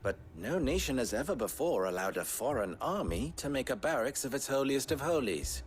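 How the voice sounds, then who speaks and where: A man's voice: medium pitch, very animated, a little fast, a little hiss or hum, lightly acted. A man playing a suave, wry underworld fixer in a video game cutscene, with arch, formal phrasing. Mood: grave, wry.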